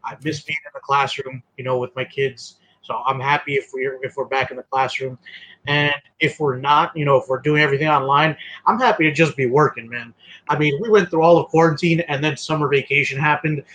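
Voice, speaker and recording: A man's voice: medium pitch, steady tone, fairly quick, fairly bright tone, poor audio. A man who teaches, co-hosting a podcast with joking, exasperated banter. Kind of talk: casual podcast chat.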